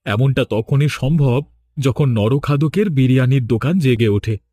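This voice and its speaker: A man's voice: medium pitch, a little slow, mellow, no echo, natural voice. Man acting an insistent cartoon village father. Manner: insistent, dramatic, questioning. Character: protective village father, firm and suspicious of his child.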